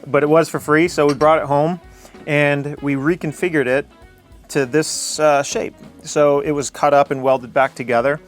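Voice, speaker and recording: A man's voice: on the high side, fairly even intonation, moderate pace, faint music behind. A man giving a casual, conversational tour of his own home as its owner. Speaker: homeowner giving a house tour. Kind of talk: casual house tour narration.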